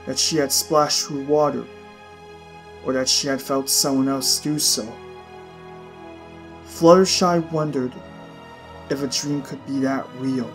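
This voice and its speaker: A man reading a story aloud as a scripted audiobook narration. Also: medium pitch.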